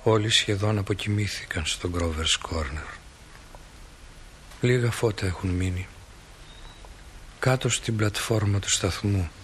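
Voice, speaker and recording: An actor playing a calm, philosophical stage manager who narrates a radio drama in a musing, folksy way. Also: male voice, sits low. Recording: radio play with loud music bed.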